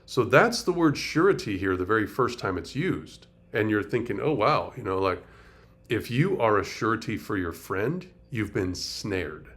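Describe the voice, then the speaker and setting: A man's voice: lowish voice, very animated, moderate pace, fairly bright tone. A middle-aged man, a pastor, teaching a conversational Bible study, breaking down word meanings and asking rhetorical questions.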